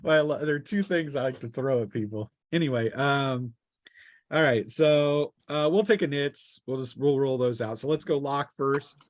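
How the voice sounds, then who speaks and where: A man running a tabletop game as game master, improvising narration in a halting, piece-by-piece way. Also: medium pitch, no echo, studio quality. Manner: halting, piecing descriptions together aloud.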